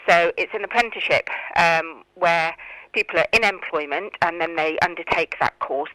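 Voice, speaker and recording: A woman's voice: on the low side, pushed and bright, no echo, poor audio. A middle-aged woman with a British accent explaining things as a guest in a radio interview. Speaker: interview guest, college tutor.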